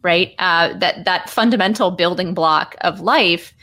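A woman with a lowish voice hosts a political interview. She builds long questions slowly, pausing and filling the gaps with "um"s as she works toward her point. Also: bright, forceful tone. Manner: halting, with many "um"s and restarts, building long questions.

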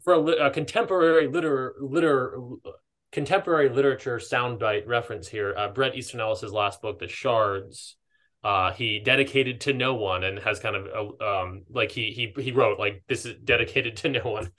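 A man in a casual, reflective podcast conversation, speaking as a host in a halting, thoughtful way and often rephrasing his ideas. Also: mid-range voice, a bit bright.

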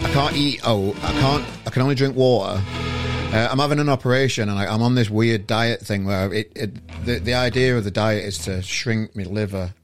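A man hosting a podcast, chatting casually and rambling with lots of restarts. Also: lowish voice. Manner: rambling, with many restarts and laughs. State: irritable, voice going on him. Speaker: podcast host. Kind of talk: casual chat.

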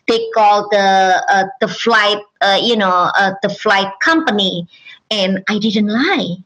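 A woman with an Indonesian accent, a native Indonesian speaker, giving personal testimony as an interview guest in halting English full of fillers and restarts. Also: medium pitch, a bit bright, low-quality recording.

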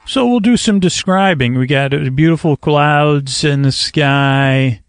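A man hosting a sleep podcast with a creaky voice, soothing and meandering, often halting to correct himself and drifting into tangents. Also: fairly high pitch, no echo. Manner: soothing, lulling delivery. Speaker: podcast host. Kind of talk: rambling sleep-podcast monologue. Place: outdoors, in a kayak on a river.

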